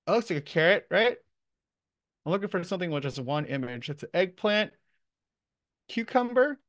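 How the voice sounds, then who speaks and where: A man lecturing a class in a loose, conversational style. His voice sits a little higher than average for a man, and the sound is dry, with very little room to it. He explains things informally, as if thinking aloud. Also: no echo. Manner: casual, off-the-cuff, conversational. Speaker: professor, lecturer.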